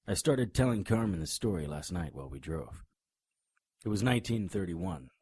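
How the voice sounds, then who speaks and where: An actor playing a brooding, world-weary hard-boiled monster hunter in a noir audio drama, with a deliberate, clipped, dramatic delivery. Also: male voice, deep voice, dark tone, slightly rough, no room sound.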